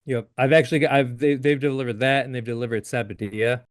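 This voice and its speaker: A man in his late thirties, a guest in a casual interview, talking in a halting way with frequent restarts and easy laughs. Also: mid-range voice, fairly even intonation, no echo, studio quality. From Upstate New York. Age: 30s.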